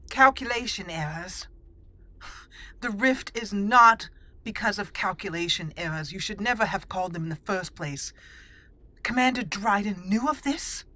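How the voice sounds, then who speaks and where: A woman's voice: medium pitch, lively intonation, normal speed, bright, forceful tone. A woman voicing a stern, skeptical elf mage while roleplaying a video game, speaking in a firm, plain way.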